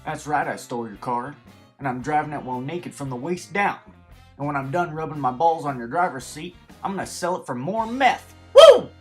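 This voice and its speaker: A man acts out a crude, shameless car thief for a cartoon story. The voice is raised and loud, brash and manically boastful, over music. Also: on the high side, expressive, brisk, voice pushed up, lightly acted. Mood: manic glee. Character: crude, gleefully shameless meth-addled male car thief.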